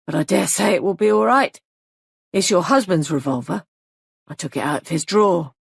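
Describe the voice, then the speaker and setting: A mad young killer whose voice turns childlike, menacing and giddy by turns, as a woman reads him. The tone is gravelly and husky, close and dry. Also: on the low side, lively intonation, normal speed, no room sound, heavily acted. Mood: gleeful.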